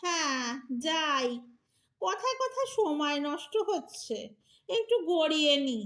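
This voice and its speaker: A woman acting a sharp-tongued elderly wife in an audio drama, sarcastic and nagging but secretly caring. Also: sits high, very animated, slow pace, exaggerated voice. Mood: mocking, sarcastic. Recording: echoey room.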